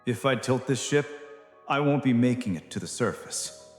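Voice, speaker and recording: A man's voice: mid-range voice, expressive, normal speed, natural voice. A man playing a resolute, terse spaceship captain in a science fiction audio drama. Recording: echoey reverb with music behind.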